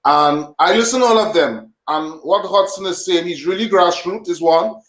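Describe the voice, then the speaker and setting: A man's voice: sits high, moderate pace, a bit bright. A man speaking as a guest on a talk show, rambling with many fillers. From Grenada. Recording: roomy echo.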